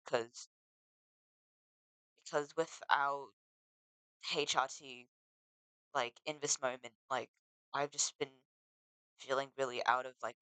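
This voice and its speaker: A teenager, talking in a casual confessional vlog, halting and full of restarts, earnest and emotional. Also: mid-range voice, pushed and bright, dry recording, poor audio. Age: teens. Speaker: vlogger.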